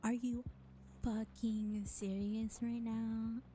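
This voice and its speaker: A woman's voice: fairly high pitch, little pitch movement, slow, dark tone, a little hiss or hum. A woman voicing an exasperated, sarcastic vampire in an audio roleplay, drawling with mockery and scorn. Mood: incredulous, scornful. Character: sarcastic, exasperated, foul-mouthed female vampire.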